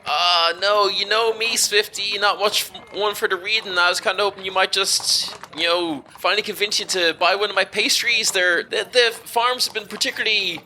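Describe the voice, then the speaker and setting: A man's voice: sits high, fairly even intonation, normal speed, few pauses, sharp tone, slight background noise, voice pushed up. A man voicing a chummy street-food vendor with informal, coaxing sales patter in a tabletop game. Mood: hopeful, coaxing.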